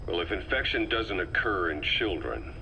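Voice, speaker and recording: Very low, shrewd intelligence officer. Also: male voice, very animated, normal speed, creaky, pushed and bright, exaggerated voice. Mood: shrewd, probing. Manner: probing, matter-of-fact. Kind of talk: dramatic video game voice acting. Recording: faintly noisy field tape.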